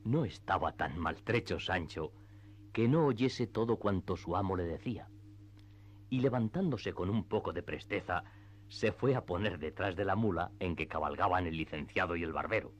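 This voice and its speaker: A man's voice: low-pitched, normal speed. A man narrating a classic tale as the storyteller of a radio play.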